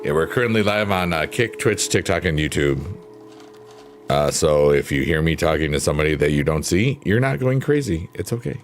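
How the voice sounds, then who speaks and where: A man in his fifties with a deep bass voice, casually chatting with viewers as a livestream host in a relaxed, friendly, unhurried way. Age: 50s. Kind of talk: casual chat reading viewer comments. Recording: clear piano music behind. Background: chill piano music.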